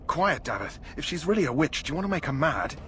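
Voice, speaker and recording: Casting: anxious grumbling knight recruit, fantasy game, lively intonation, clear noise behind. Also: male voice, fairly high pitch, quick pace. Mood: uneasy. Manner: complaining, plain-spoken. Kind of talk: fantasy video game acting.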